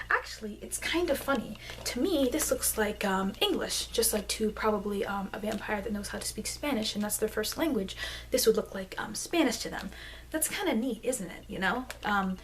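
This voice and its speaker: A woman plays a chatty, friendly immortal vampire in a soft-spoken ASMR roleplay. Her medium-pitched voice wanders along conversationally, full of loose asides. A faint layer of noise from an amateur microphone sits under the speech. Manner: rambling, conversational, frequent "you know". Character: friendly, chatty immortal young-looking female vampire researcher.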